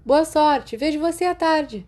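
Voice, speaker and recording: Woman voicing a friendly Brazilian schoolboy, pitched up. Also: a little slow, a little mellow. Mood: friendly, raised. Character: friendly, considerate teenage schoolboy calling through a door. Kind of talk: audiobook character dialogue.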